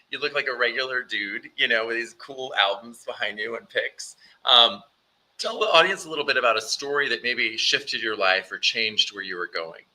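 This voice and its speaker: A man's voice: medium pitch, bright, forceful tone, rough recording. A man hosting a conversational podcast interview in an enthusiastic, informal manner. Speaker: interview host and author.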